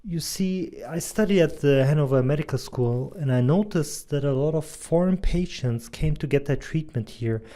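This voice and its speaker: A man in his thirties with a German accent, giving an earnest, measured presentation as a doctor and advertising consultant. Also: fairly high pitch, warm tone. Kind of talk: scripted presentation voice-over.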